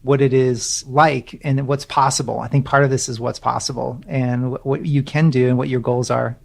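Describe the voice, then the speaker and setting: A man's voice: medium pitch, fairly even intonation, normal speed, vocal fry. A man hosting a podcast interview, chatty and casual, often restarting his phrases as he asks questions. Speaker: podcast host. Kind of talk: conversational interview.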